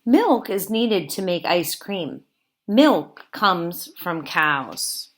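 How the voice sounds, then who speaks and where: A woman teacher reading aloud to young children in simple, deliberate phrasing, pausing to explain as she goes. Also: lowish voice, big pitch swings. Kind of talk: read-aloud lesson with explanatory asides.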